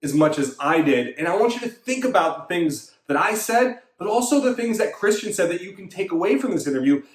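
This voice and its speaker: High-voiced man giving a fitness pep talk. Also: lively intonation, a little fast, reverberant. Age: 30s. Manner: emphatic, repetitive coaching pep talk. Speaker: fitness coach and show host. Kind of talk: motivational monologue.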